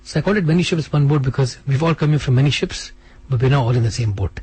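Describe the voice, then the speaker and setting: A man being interviewed on radio as an author, speaking in a quick, animated way with frequent restarts. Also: medium pitch, breathy edge, no room sound. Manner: fast, animated, restarts often. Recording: radio studio.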